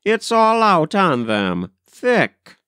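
Man putting on a high, frantic governess woman. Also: huge pitch swings, drawn-out words, pushed and bright, clean tone, no room sound, studio quality, heavily acted. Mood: alarmed. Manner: frantic, emphatic, aggrieved. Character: wild, agitated, indignant nursery governess woman. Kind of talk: storybook character voice.

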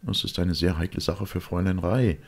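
A man voicing a gloomy, anxious young secretary in an audiobook, troubled and hesitant, with a husky voice. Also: low voice, brisk, pitched above the performer's own voice, exaggerated voice.

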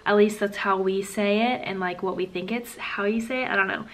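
A woman's voice: mid-range voice, fairly even intonation, a little fast, fairly bright tone. A young woman, chatting casually to the camera as a vlogger from a room at home. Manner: chatty, easygoing, says "like" and "y'all". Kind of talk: casual vlog talk to camera. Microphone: camera on a desk at arm's length.

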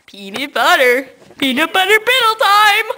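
Teen girl prank caller, bright and sing-song, loud goofy outbursts, close webcam at home. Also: extremely high pitch, big pitch swings, moderate pace, a little hiss or hum. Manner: silly, giggly, loud outbursts. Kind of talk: goofy prank phone call. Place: indoors at home.

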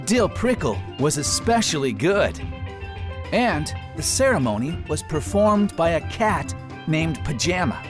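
A man reading a children's story aloud as a trained voice actor, theatrical and deliberate in his emphasis. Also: on the high side, sing-song. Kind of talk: expressive children's storybook narration. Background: soft music.

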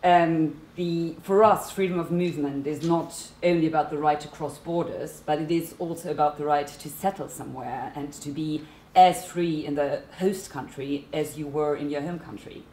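A woman's voice: sits low, fairly even intonation, moderate pace, hardly any pauses, dark tone. A woman in her thirties with a German accent, speaking as a panelist in a seminar room, earnest and emphatic. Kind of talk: panel discussion talk. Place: seminar room at a conference table. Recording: echoey room.

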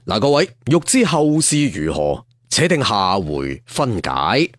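Animated male storyteller, very lively intonation. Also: lowish voice, measured pace, dry recording, studio quality. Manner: theatrical, colloquial traditional storyteller phrasing. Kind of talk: dramatic serial storytelling narration.

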